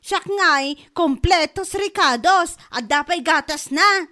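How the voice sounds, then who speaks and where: A woman's voice: very high-pitched, slow pace, bright, forceful tone, exaggerated voice. A woman playing a boastful, scornful rival shopkeeper in a comedy drama. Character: boastful, snippy female rival neighbourhood shopkeeper. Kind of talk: Filipino comedy audio drama.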